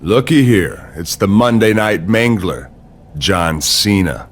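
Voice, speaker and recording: A man playing a menacing, arrogant wrestling rival in a video game, slow, deliberate and taunting. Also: on the low side, lively intonation, fairly bright tone. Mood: cold menace. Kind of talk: video game cutscene acting.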